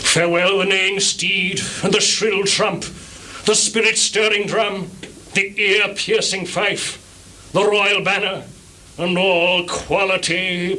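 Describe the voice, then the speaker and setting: A jealous, tormented general, forceful and ringing. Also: male voice, sits high, full character voice. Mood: grieving farewell. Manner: declamatory, impassioned, drawn-out oratory. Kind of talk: live Shakespearean stage tragedy. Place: small theatre stage. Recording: noisy live theatre recording, 1980s.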